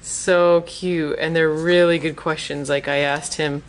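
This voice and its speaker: Female vlogger, low smooth voice, casual and enthusiastic haul narration. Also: steady tone, measured pace. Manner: informal, enthusiastic. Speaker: vlogger, parent. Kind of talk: casual chatty shopping haul voice-over.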